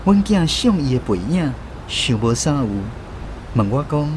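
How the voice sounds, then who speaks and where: Taiwanese man narrating with lively intonation. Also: a little high, measured pace, a little hiss or hum, poor audio. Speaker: radio drama narrator. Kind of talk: scripted first-person storytelling narration. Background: instrumental music.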